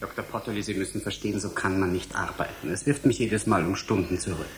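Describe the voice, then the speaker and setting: An actor playing a driven, impatient physicist in a German radio drama, speaking in a formal, lecturing and easily irritated manner. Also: male voice, deep voice, steady tone, fast, a little hiss or hum, natural voice. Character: driven, impatient male physicist absorbed in his research.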